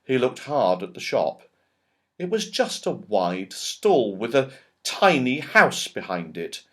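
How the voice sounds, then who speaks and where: A man reads a children's story aloud. His medium-pitched voice is highly animated, with expressive, emphatic phrasing. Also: slow pace. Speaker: audiobook reader. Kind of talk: scripted children's story narration.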